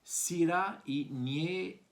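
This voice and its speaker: A man's voice: a little high, extremely slow, creaky. A man from France with a French accent, a native French speaker, giving an informal wine tasting presentation with somewhat hesitant phrasing. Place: at home indoors.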